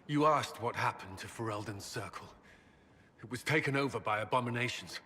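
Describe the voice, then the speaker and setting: A troubled commander of soldiers played by a man speaks in a forceful, formal way, as in a dramatic game cutscene. The delivery is intense and halting, set in a long reverb with noise behind it. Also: medium pitch, close to an everyday voice. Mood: anguished, bitter. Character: earnest, troubled male military commander, former templar.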